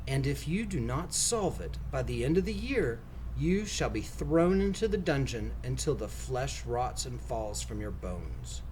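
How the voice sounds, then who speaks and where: Guy doing a stuck-up angry prince for a kids story, very animated, sneering and cruel. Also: medium pitch, a little slow, noisy background. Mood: angry, threatening. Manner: pompous, contemptuous. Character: vain, cruel, angry young male prince.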